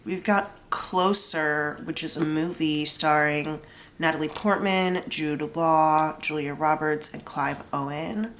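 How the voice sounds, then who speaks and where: Young woman w a low voice and a stuffy cold nose, chatty tarot reading, bit of faint room noise. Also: fairly even intonation, slow pace, a bit bright. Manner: chatty, lots of "like", explains each pull plainly. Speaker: tarot reader. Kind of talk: casual solo tarot reading.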